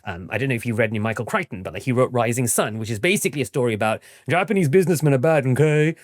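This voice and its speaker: A man speaking as an expert interview guest, explaining things conversationally and informally, often checking in with a quick "right?". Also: medium pitch, no room sound, clean studio sound. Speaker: interview guest, historian and author.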